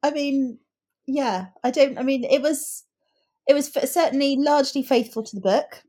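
A woman's voice: high voice, moderate pace, dark tone. A woman co-hosting a casual book chat, speaking in articulate, considered phrases. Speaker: podcast co-host.

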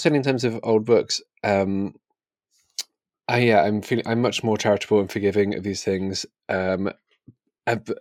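A man's voice: deep voice, no room sound, studio quality. A man co-hosting a casual book discussion, hesitant and wry as he questions his own thinking. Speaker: podcast co-host.

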